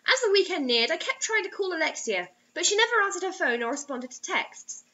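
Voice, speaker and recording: A young adult woman giving an expressive, theatrical, mocking reading of a story on a webcam in a small room. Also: extremely high pitch, fairly quick, lo-fi sound. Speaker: host reading bad fiction aloud.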